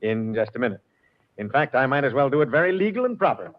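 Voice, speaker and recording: A man plays a brisk, matter-of-fact police inspector in 1940s radio drama. He rattles off his lines quickly in a mid-range voice, on a muffled vintage recording. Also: mid-range voice, very animated, lightly acted. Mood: brisk, official. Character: brisk, gruffly good-humored male police inspector.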